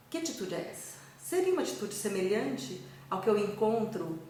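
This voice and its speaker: A professor with a Brazilian accent speaks in a calm, measured way, asking one rhetorical question after another. She is a woman of middle pitch, and her voice sounds far from the mic in a very echoey room with a faint hiss behind it. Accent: Brazilian Portuguese. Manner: measured, reflective, poses many rhetorical questions. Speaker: university professor. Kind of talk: recorded talk to a conference.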